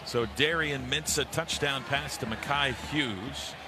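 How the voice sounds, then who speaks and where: Male, American accent, radio football play-by-play, clipped punchy phrasing, medium pitch, noisy outdoor stadium recording. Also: unhurried, over a noisy crowd.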